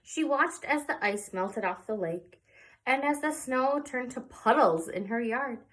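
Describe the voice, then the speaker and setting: A woman reading a picture book aloud to young children in an expressive storytelling way, pausing for little asides. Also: on the high side. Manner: slow, animated, pausing for asides. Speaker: children's read-aloud presenter.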